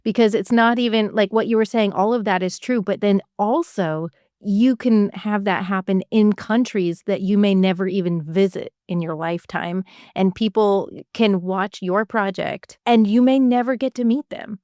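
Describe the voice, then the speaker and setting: A woman's voice: medium pitch, normal speed, a little mellow, no echo, studio quality. A woman from Canada hosting a podcast interview, talking in an enthusiastic, chatty way and laughing easily. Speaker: podcast host. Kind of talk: casual interview chat.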